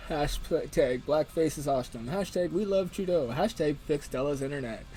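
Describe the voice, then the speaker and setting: A man's voice: fairly high pitch, normal speed, dark tone. A man hosting a casual livestream, reading the chat aloud in a joking way and laughing often. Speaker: stream host.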